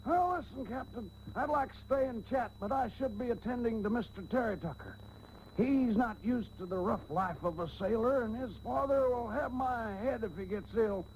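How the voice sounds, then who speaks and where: Very high-voiced old servant, folksy. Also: male voice, moderate pace, a little mellow, low-quality recording. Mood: chatty, folksy. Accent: American. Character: old male servant, deferential, folksy, chatty. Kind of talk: comic radio serial acting. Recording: hissy old radio broadcast tape.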